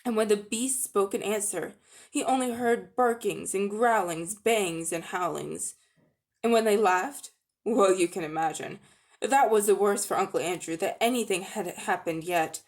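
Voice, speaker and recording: A woman narrating a children's story as a dramatic reading, with expressive storytelling delivery. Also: medium pitch, a little slow. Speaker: audiobook-style narrator.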